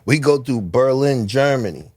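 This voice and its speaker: A middle-aged man from New Jersey with a New Jersey accent, telling stories as a podcast guest, animated and swearing freely. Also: mid-range voice, moderate pace, no echo, studio quality. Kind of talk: storytelling in casual chat.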